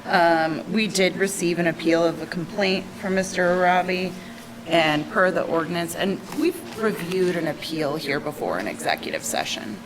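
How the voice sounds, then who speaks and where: A woman's voice: on the low side, expressive, normal speed, poor audio. A matter-of-fact woman speaking as a board member in a formal meeting discussion. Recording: public meeting with people audible behind.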